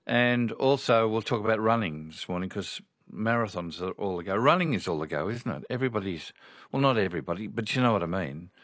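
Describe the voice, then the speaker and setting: Chatty Australian radio host, low voice. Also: male voice, expressive, a little fast, a bit bright, no echo, studio quality. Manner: chatty, rambling, reads listener messages aloud. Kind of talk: casual radio chat.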